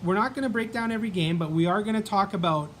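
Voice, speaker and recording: A man's voice: high-pitched, quick pace, fairly bright tone, slight background noise. A man with a Canadian accent hosting a casual sports vlog, chatting in a rambling way with many ums and restarts.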